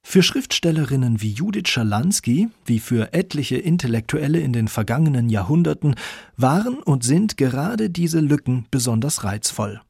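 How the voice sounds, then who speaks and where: A man narrates a scripted radio feature in a mid-pitched voice with a lot of gravel in it. The sound is very dry and close, with a husky grain to the words. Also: expressive, normal speed, darkish tone, no room sound.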